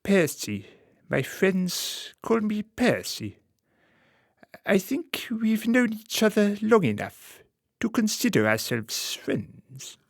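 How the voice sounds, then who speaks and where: A man acting a suave, calculating political fixer in a radio play, speaking formally and deliberately with quiet menace. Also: high voice, sing-song, creaky, dry recording, studio quality, exaggerated voice. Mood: courteous, probing. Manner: formal, measured, deliberate phrasing.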